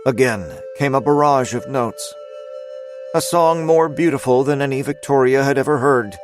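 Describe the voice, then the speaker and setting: A man narrating a scripted dramatic story as its storyteller, in a measured, suspenseful manner. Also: medium pitch, soft background music, lightly acted.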